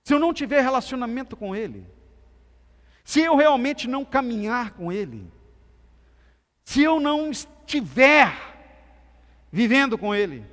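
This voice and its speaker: A man from Minas Gerais with a softened Minas Gerais accent, preaching a sermon as pastor with emphatic swings between loud and soft delivery. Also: very high-pitched, sing-song, a little slow, pauses often. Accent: Brazilian Portuguese, softened Minas Gerais. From Minas Gerais, Brazil. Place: church sanctuary. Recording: church hall reverb.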